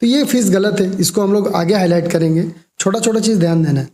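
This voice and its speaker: A man's voice: high-pitched, fast, dark tone, roomy sound. A young Indian man giving informal, emphatic explanatory commentary to viewers as a vlogger. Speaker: vlogger commenting on a call recording.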